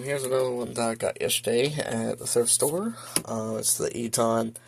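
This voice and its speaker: A man talking casually and haltingly through an unscripted show-and-tell as a hobbyist. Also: mid-range voice, creaky, a little mellow. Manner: halting, hesitant, informal. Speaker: hobbyist video host showing a weather radio collection. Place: indoor room at home. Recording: noisy home video with clicks.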